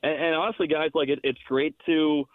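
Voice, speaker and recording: A man's voice: a little high, steady tone, fairly quick, no echo, narrow, tinny audio. A man who works as a hockey radio announcer, chatting as an interview guest in an enthusiastic, self-deprecating, joking way. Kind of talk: casual radio interview chat.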